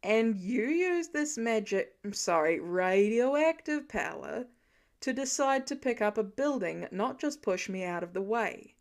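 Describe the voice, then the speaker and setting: A woman voicing a weary, sarcastic adult male hero who grumbles in a deadpan way in a story reading. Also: fairly high pitch, unhurried. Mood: incredulous, deadpan.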